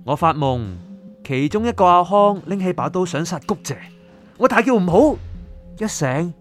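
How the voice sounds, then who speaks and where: A man acting a troubled, higher-voiced sleepless patient. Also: expressive, moderate pace. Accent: Hong Kong Cantonese. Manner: anxious, pleading, doubtful. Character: troubled, sleepless male writer seeking his doctor's help. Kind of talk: Cantonese radio drama acting. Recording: music underneath.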